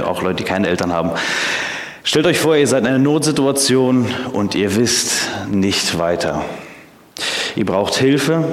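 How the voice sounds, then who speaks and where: A man in his twenties, raised in Canada with English as his first language, preaching a sermon in German with many hesitations. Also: sits low. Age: 20s. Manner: hesitant, frequent filler sounds. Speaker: theology student preaching. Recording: echoey hall.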